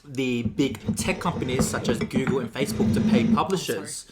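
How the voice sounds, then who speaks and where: A young man with a hoarse, worn voice, co-hosting a casual chat and rambling with lots of ums and laughs. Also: mid-range voice, moderate pace, very noisy. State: hoarse, losing his voice. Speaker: podcast co-host.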